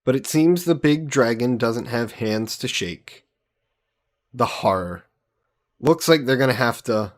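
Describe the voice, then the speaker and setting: A man playing a slow-talking, easygoing young hero. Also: medium pitch, lively intonation, close to an everyday voice. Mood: playful teasing. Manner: casual, playful, gently coaxing. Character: easygoing, teasing young male isekai hero adventurer. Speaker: voice actor. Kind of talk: intimate roleplay audio drama.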